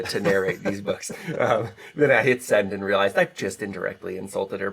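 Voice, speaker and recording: A man's voice: lowish voice, creaky, fairly bright tone. An American man, an author, answering interview questions in an earnest, candid, conversational way. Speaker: author, podcast guest.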